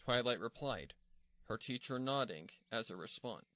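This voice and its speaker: A man reads scripted fiction as the narrator. His mid-pitched voice moves up and down a lot, giving the storytelling an animated shape. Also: moderate pace, no room sound.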